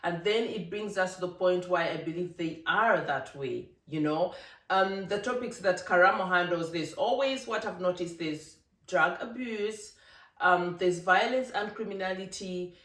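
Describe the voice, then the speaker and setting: A vlogger from Africa, giving an emphatic, animated opinion monologue with laughing asides. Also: mid-range voice, measured pace. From East Africa. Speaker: vlogger, channel host. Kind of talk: casual opinion monologue to camera. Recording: roomy, distant from the mic.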